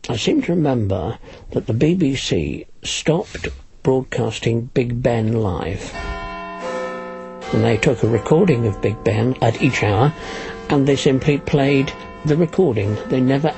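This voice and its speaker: A man with a gravelly, husky voice, explaining things as an interviewed expert. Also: mid-range voice, lively intonation, unhurried, over music. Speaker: signals expert and cryptographer being interviewed.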